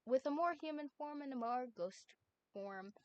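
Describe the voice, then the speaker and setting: A woman's voice: sits high, animated, normal speed, clean tone. A woman reading fan fiction aloud on a stream in an informal, chatty way. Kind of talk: informal live story reading with banter.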